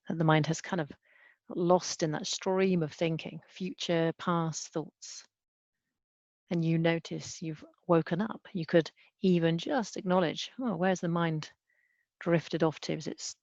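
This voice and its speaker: A woman leading a guided body scan meditation as a mindfulness teacher, speaking gently and unhurriedly with long pauses. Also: low-pitched, dry recording. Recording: dull, muffled lo-fi sound.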